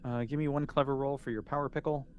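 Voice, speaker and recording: A man's voice: mid-range voice, steady tone, quick pace, lo-fi sound, slight background noise. A man running a tabletop role-playing game as game master, speaking casually and informally.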